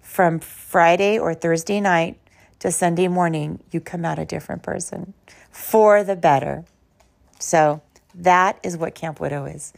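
A woman hosting a podcast in an informal, conversational and emphatic way, telling personal stories in a slightly hoarse voice. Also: on the low side. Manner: conversational, emphatic, uses rhetorical questions. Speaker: podcast host.

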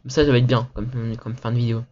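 Fast-talking man, slangy gaming chat. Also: medium pitch, little pitch movement, darkish tone, dull audio. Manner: slangy, rambling, talks fast. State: says he is sick, phlegm in throat. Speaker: gaming video maker. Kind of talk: casual gaming chat with an upbeat video intro.

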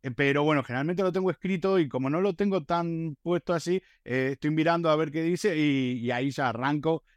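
A man's voice: on the high side, fairly quick, few pauses, no echo, muffled recording. A man chatting casually on a podcast panel, telling stories in a rambling, self-deprecating way. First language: Spanish. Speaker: podcast host and video creator.

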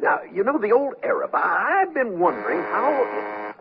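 Flustered, excitable company chairman, swooping pitch. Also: moderate pace, vocal fry, lo-fi sound, loud music behind the voice, full character voice. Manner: hesitant, stammering, blustery. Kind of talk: 1970s radio comedy acting. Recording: thin, noisy old radio broadcast.

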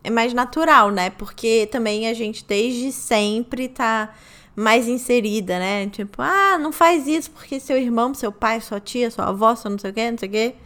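A woman's voice: on the high side, moderate pace, fairly bright tone. A woman from Rio de Janeiro with a Carioca accent, hosting a casual interview with chatty, playful asides. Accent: Carioca Portuguese. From Rio de Janeiro, Brazil.

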